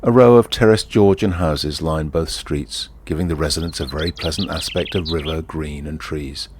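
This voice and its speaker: An actor playing a wry, easygoing ordinary bloke who confides in the listener in a leisurely first-person monologue. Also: male voice, extremely low pitch, normal speed, a little mellow, slight background noise. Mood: tranquil, musing. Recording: outdoor ambience with birdsong behind. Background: birdsong and outdoor ambience.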